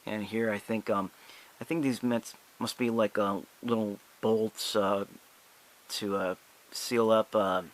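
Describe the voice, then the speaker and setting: A young man giving a casual, unscripted product demonstration in a halting, hesitant, apologetic way. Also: on the low side, steady tone, poor audio. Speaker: amateur product reviewer.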